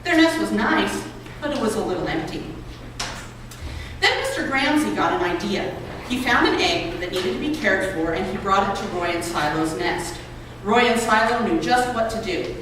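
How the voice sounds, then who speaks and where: A woman's voice: mid-range voice, sing-song, moderate pace. Woman reading aloud in an echoey hall. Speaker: reader at a public read-aloud event. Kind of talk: reading a children's book and an essay aloud. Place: college building lobby. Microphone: distant microphone. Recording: echoey hall, distant mic, noisy ambience.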